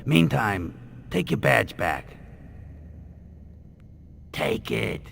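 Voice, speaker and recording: An actor playing a stern, exasperated police superior in a video game. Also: male voice, mid-range voice, big pitch swings, very slow, a bit bright, heavily acted. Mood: firm, relieved. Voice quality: gravelly. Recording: game cutscene, faint vehicle noise.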